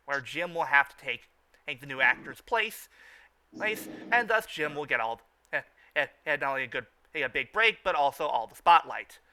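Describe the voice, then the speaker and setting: A man with a fairly high, very bright and forceful voice gives informal fan commentary. He speaks haltingly, doubling back to repeat words and phrases as he recaps. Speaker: review show host.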